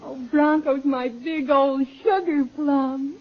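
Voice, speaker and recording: A sugary, doting young wife in a 1940s radio sitcom. She coos and gushes in a high voice. The old recording sounds dull and muffled. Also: steady tone, slow. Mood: lovey-dovey. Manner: gushing, cooing.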